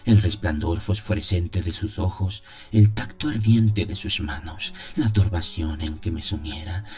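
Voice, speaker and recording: A man narrating a gothic horror audio drama in the first person, solemn, measured and dramatic. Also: deep voice, mellow.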